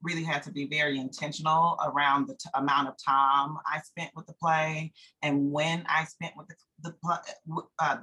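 An American woman from North Carolina, a theatre director, answering interview questions reflectively and haltingly as she searches for words. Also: deep voice, flat delivery, sharp tone, husky, poor audio.

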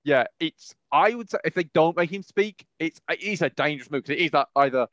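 A man with a slightly high voice chats casually on a podcast. He repeats himself for emphasis and voices imagined reactions. The recording is dull and lo-fi. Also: lively intonation, brisk, a bit bright, no echo. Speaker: podcast co-host. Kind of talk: casual chat.

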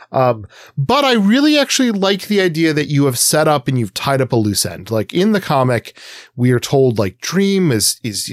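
A man's voice: medium pitch, no echo. Informal, opinionated man in a dry recording. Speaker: podcast co-host. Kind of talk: casual chat and critique.

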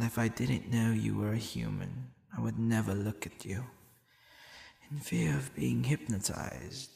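A man voicing a tender, flustered demon boyfriend in an intimate ASMR roleplay, breathy and often near a whisper, speaking hesitantly. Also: medium pitch, roomy sound, heavily acted. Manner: slow, hesitant, soft chuckling.

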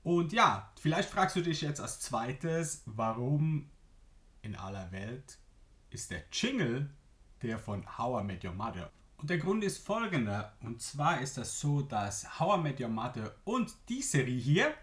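A young man from Switzerland with a Swiss German accent, hosting a solo business podcast and explaining ideas with lively emphasis and occasional fillers. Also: mid-range voice, a little slow. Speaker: podcast host.

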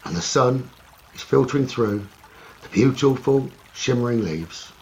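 A man leading a guided meditation slowly and soothingly, in short phrases with long pauses. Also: mid-range voice, expressive, a little mellow, highs cut off. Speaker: meditation teacher. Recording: running stream water noise behind voice. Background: trickling stream water ambience.

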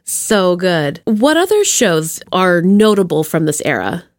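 A woman's voice: on the low side, very animated, a little slow, no echo, studio quality. A woman hosting a podcast, chatting casually as she interviews. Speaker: podcast host.

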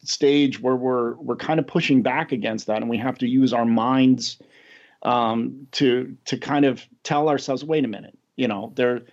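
A man's voice: mid-range voice, fairly even intonation, unhurried. A middle-aged American man hosting a casual podcast chat, rambling and chuckling, a little self-deprecating. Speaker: podcast host. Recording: dull lo-fi audio.

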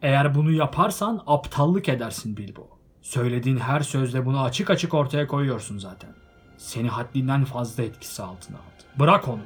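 A man voicing an old male wizard who speaks in a firm, measured, admonishing way. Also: fairly low pitch, darkish tone, husky, faint music behind. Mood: stern.

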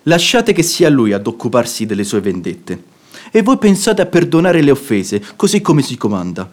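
An actor playing a frank, reasonable, righteous gentleman in a radio drama, arguing eloquently and emphatically. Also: medium pitch, very animated, normal speed. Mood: indignant. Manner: eloquent, emphatic, rhetorical questioning. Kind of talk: theatrical Italian radio drama acting.

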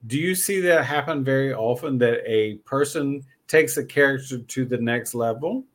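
A man's voice: mid-range voice, normal speed. A man hosting a casual interview podcast, effusive and playful in the way he talks.

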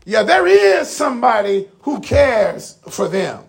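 Animated high-pitched preacher man, gravelly edge. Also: slow. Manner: rhetorical, emphatic preaching with repeated phrases and direct appeals to listeners.

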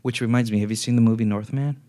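A man chats casually as a podcast co-host, his voice sitting at an ordinary middle pitch. He rambles, circling back with fillers and false starts as he thinks aloud. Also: fairly even intonation, fast talker, warm tone. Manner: rambling, filler-heavy, many restarts. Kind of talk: casual chat.

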